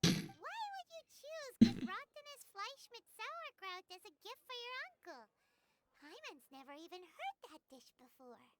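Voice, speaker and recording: A woman voicing a tiny, chatty, blunt fairy companion in a video game, with a squeaky childlike voice. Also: big pitch swings, unhurried, very noisy, exaggerated voice. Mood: indignant, puzzled. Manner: refers to self in third person, exclaiming. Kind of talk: animated game voice acting.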